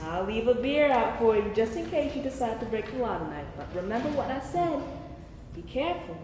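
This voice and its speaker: A cheeky, streetwise man who teases and preaches with theatrical flair, played by a woman in a staged reading. The voice sits on the high side. It rings through a long-echoing hall with faint noise. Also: lively intonation, fairly quick, natural voice. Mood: wry, cautionary. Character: cheeky, worldly-wise queer man, a streetwise regular of basement bars. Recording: echoey hall, noisy live stage pickup.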